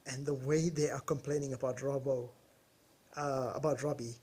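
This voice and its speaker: A man joining a sports podcast remotely as a guest, animated and emphatic, firing off rhetorical questions. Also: mid-range voice, normal speed, husky. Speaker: guest caller. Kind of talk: casual sports banter. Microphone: remote call over weak Wi-Fi.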